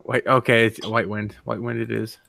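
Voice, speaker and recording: A man's voice: fairly low pitch, level tone, moderate pace, vocal fry, sharp tone. A man chatting casually in a tentative, questioning way as he brainstorms with others. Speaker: stream participant. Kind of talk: casual chat.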